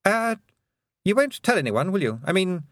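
A man's voice: sits high, sing-song, moderate pace, fairly bright tone, dry recording, clean studio sound, pitched above the performer's own voice. A man doing a flustered stammering young nobleman. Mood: anxious. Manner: stammering, pleading.

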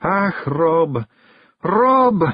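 A man's voice: high voice, very animated, a little fast, breathy edge, dry recording, lo-fi sound, voice pushed up, exaggerated voice. A man voicing a tiny fairy clan wife with a Scottish accent, bossy yet tender, in a storybook reading. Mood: tearful pleading. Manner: sharp and bossy, pleading when worried.

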